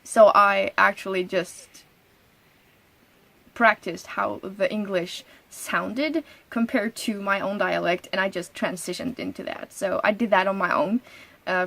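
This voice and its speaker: A woman's voice: mid-range voice, vocal fry. Chatty norwegian-born woman in her 30s doing her knitting podcast, laughs a lot, lots of ums. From Norway. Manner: chatty, laughs often, many ums and restarts. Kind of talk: casual solo podcast chat.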